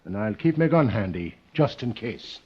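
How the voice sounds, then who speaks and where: A man's voice: on the low side, very animated, normal speed. A man playing a tough, folksy detective's sidekick in an old radio drama, with a blunt way of talking. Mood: wary, dry. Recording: muffled old radio recording.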